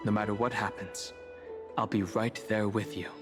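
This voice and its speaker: An actor playing a cautious, loyal young traveling companion in a video game, speaking in a measured, thoughtful way. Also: male voice, on the low side, steady tone, natural voice. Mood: concerned. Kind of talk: video game voice acting, conversational dialogue. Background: background music.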